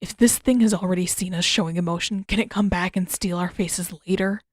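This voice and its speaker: A grumpy teenage boy prince, acted by a woman, low and dry-sounding. He grumbles and mumbles with sardonic, exasperated bluntness, a deadpan figure in a narrated fan story. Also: a little fast, dry recording, lightly acted.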